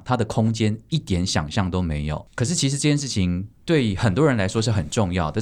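A man's voice: fairly low pitch, darkish tone. A man with a Taiwanese Mandarin accent talking casually and reflectively as a podcast host. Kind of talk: casual conversational talk.

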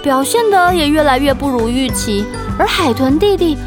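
A woman's voice: high voice, expressive, normal speed. A woman host giving a scripted, storyteller-style introduction for a children's audio story. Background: children's music.

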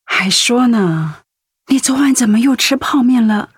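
A husky, caring middle-aged wife. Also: female voice, a little high, expressive, unhurried, no echo, studio quality, full character voice. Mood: fussing, chiding. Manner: polite, conversational. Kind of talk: radio drama acting.

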